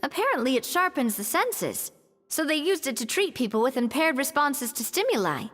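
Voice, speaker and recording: A composed former head chef, firm and commanding, her pitch high and lively in its rise and fall. She sounds roomy and set back, with faint music under the game audio. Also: moderate pace. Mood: calm. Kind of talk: video game voice acting.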